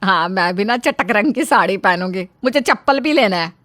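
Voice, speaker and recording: A woman voicing a vain, bossy middle-aged mother-in-law, loud and imperious, in a storybook tale. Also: medium pitch, lively intonation, normal speed, dry recording, lightly acted. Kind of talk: storybook character acting.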